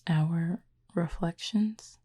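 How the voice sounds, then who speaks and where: Woman playing a low, breathy, soft-spoken cupid. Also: exaggerated voice. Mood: calm, gently teasing. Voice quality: breathy, soft, half-whispered. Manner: formal, measured, gently dry phrasing. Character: calm, curious otherworldly cupid who feeds on feelings. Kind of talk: intimate audio roleplay addressed to the listener.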